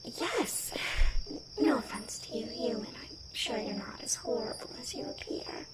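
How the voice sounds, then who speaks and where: A small forest spirit, played by a woman, speaks in a light, breathy, measured voice with feisty loyalty. She sounds set back from the mic in strong echo. Loud crickets and insects fill this fantasy roleplay track. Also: on the high side, expressive. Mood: dry, wary. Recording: distant, echoing, noisy nature-ambience track.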